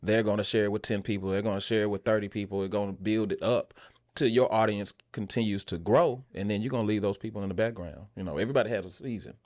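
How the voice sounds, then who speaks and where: A man chatting casually as a podcast guest, telling stories in an animated way and repeating phrases for emphasis. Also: on the low side, quick pace, dry recording, clean studio sound. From Memphis, USA. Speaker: podcast guest, actor and stage playwright. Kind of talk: casual conversational interview talk.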